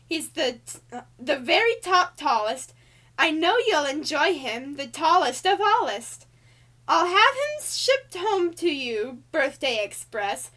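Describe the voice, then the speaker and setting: A very high-pitched cartoon angel lady, played by a woman, reads a children's storybook aloud. Her delivery is theatrical and sing-song, swooping widely through the rhymes and leaning hard on key words. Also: sing-song, takes it slowly, fairly bright tone, exaggerated voice. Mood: bright, excited. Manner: theatrical, exaggerated emphasis, showy. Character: Alice Angel, female cartoon angel reading a bouncy birthday storybook.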